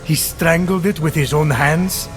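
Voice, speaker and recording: A man playing an earnest, dramatic storyteller of myths who can be gently reproachful, in a video game. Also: on the high side, unhurried, over music, natural voice.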